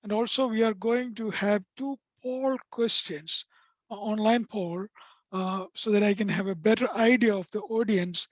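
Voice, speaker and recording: Man, non-native accent, high soft voice, halting with fillers, dull dry call audio. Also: steady tone, no room sound. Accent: non-native English accent. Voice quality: high-pitched, sometimes hard to hear. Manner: hesitant, many fillers. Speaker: vocational rehabilitation presenter. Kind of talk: webinar presentation. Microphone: video call.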